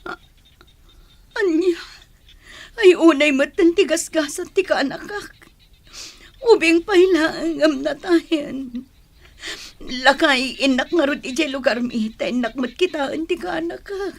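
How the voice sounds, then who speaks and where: A woman's voice: sits high, slow, pauses often. A woman playing a worried rural wife and mother in a radio drama, with an Ilocano Filipino accent. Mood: shocked, grieving.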